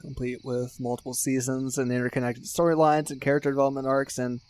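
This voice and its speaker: A man's voice: medium pitch, level tone, fast talker, darkish tone, natural voice. Man acting as an effusive, dramatic best friend. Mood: earnest, hopeful. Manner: effusive, dramatic, gushing with mock-serious exaggeration. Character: excitable, sentimental male best friend and ASMR superfan. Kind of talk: ASMR roleplay acting, casual one-sided chat.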